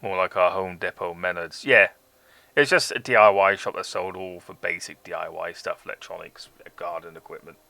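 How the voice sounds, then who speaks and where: Gravelly low-voiced British man. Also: steady tone, normal speed, pushed and bright. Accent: mixed British Forces English. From England. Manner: chatty, swears freely, laughs often. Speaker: streamer building model kits. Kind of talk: casual chat on a live stream.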